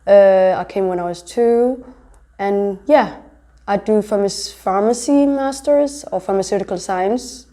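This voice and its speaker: A woman's voice: mid-range voice, measured pace, mellow. A young woman from Denmark talking casually in an interview as a designer, explaining herself in a reflective way. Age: young adult. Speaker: interviewee, fashion designer and entrepreneur.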